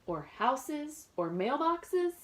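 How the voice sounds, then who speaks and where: A woman's voice: sits high, big pitch swings, very slow, a bit bright. A woman reading a children's story aloud with dramatic emphasis, adding casual comments for young listeners. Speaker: teacher reading a picture book aloud.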